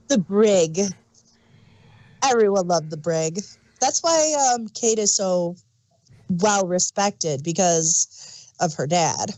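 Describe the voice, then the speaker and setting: A woman's voice: medium pitch, animated, takes it slowly, pauses often, darkish tone, dry recording, poor audio. A woman chatting casually as a podcast host, explaining background details like an enthusiastic fan. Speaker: audio drama review podcast host. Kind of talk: casual review chat.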